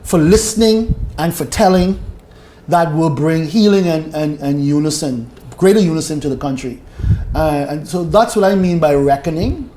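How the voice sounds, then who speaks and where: A man's voice: fairly high pitch, moderate pace, warm tone, a little hiss or hum, rough recording. A man, speaking as a panel guest in a reflective way and repeating key phrases. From Bahamas. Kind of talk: discussion panel.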